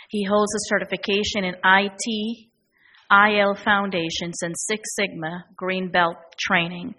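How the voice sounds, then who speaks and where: A woman's voice: medium pitch, sharp tone, a little hiss or hum, rough recording. A woman superintendent formally presenting an appointment at a school board meeting. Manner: reads recommendations carefully, some stumbles.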